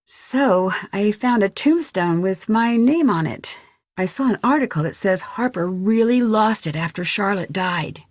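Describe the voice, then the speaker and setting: A woman's voice: mid-range voice, lively intonation, measured pace, dry recording. Young woman sleuth for a mystery game: mid-range, friendly, earnest, lightly wry, close dry studio sound. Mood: curious, wry. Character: young female detective, curious, friendly, level-headed. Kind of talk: conversational game dialogue, one side of phone calls.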